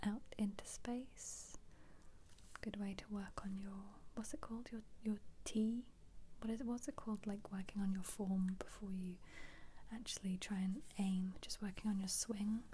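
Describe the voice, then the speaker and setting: Whispering British woman, soft ASMR commentary. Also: mid-range voice, slight background noise. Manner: casual, gentle, rambling asides. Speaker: ASMR creator. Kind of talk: soft-spoken ASMR book flip-through commentary.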